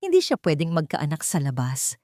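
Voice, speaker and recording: Female story narrator, lowish register, dramatic scripted delivery, dry studio-like sound. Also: wildly swooping pitch, a little fast, mellow, dry recording.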